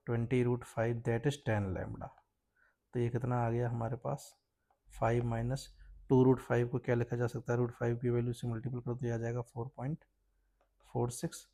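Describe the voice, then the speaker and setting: A man's voice: medium pitch, dark tone. A man with an Indian accent, a physics teacher explaining a worked problem step by step and checking understanding with short tags.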